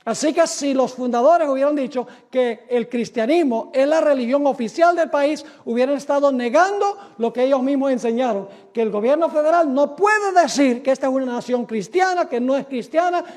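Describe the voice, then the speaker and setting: A man's voice: extremely high pitch, normal speed, few pauses, darkish tone. A man from the United States, a pastor giving a sermon lecture, emphatic and asking rhetorical questions.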